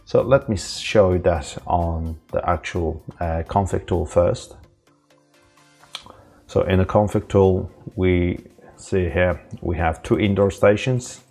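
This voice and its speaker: A man with a very low, husky and creaky voice presents a software tutorial. He talks informally and haltingly, taking the listener through each step without a script, with faint music behind him. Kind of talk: unscripted software walkthrough.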